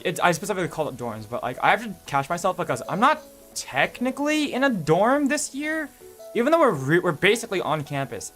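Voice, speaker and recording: A man's voice: on the high side, a bit bright. A young man streaming, chatting casually in a rambling, self-correcting way with lots of fillers. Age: young adult. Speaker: VTuber streamer.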